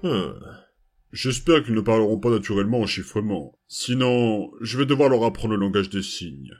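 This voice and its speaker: A man voicing a patient adult male skeleton who looks after strange children, speaking softly and haltingly with lots of small pauses. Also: lowish voice, expressive, faint music behind. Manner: hesitant, soft-spoken, full of small pauses and fillers. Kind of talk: fan comic dub voice acting.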